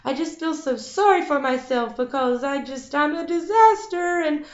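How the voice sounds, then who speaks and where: A woman's voice: high voice, expressive, moderate pace, voice pushed up. A woman voicing a self-pitying young maiden who whines and sobs over her hard life, in an echoey room. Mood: whiny. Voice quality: whiny, weepy. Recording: echoey, slightly dull recording.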